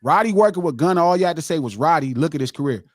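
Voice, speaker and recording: A man with a high voice that swings widely in pitch, hammering home his point in a heated podcast debate. He talks emphatically, like a preacher, and repeats lines for weight. The sound is close and dry, with no room around it. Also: expressive, racing, no room sound. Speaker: podcast host.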